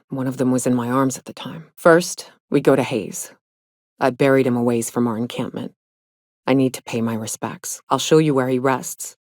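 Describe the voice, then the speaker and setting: Tough, guarded female hunter for a space game; low register, blunt folksy drawl, close dry recording. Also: no room sound. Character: tough, gruff, guarded woman monster hunter in a spaceship crew. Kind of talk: video game character dialogue.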